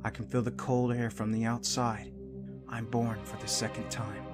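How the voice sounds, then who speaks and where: An actor playing an earnest, intense, newly awakened angel soldier with brooding, dramatic delivery in a visual novel game. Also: male voice, medium pitch, flat delivery, moderate pace, close to an everyday voice. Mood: brooding, awed. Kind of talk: visual novel voice acting, introspective narration. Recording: music behind the voice. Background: background music.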